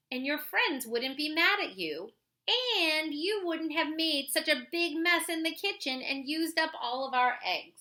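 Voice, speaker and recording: A woman's voice: high voice, sharp tone, heavily acted. A woman voicing an exasperated mother in a children's picture book, lecturing her young son in a firm, emphatic way.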